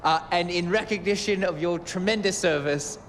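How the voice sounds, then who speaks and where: An actor playing a giddy, reckless stoner in a video game, excitable and mock-pompous in manner. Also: male voice, high voice, moderate pace, a little hiss or hum. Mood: mock-ceremonial. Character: giddy, reckless drug-experimenting stoner man, mock-grand. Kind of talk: video game cutscene acting.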